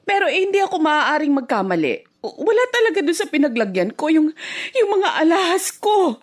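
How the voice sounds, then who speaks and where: A woman playing a forgiving, long-suffering middle-aged mother in a Filipino radio drama, speaking haltingly. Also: high voice, sing-song. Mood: distressed, bewildered. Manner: hesitant, halting, stammering.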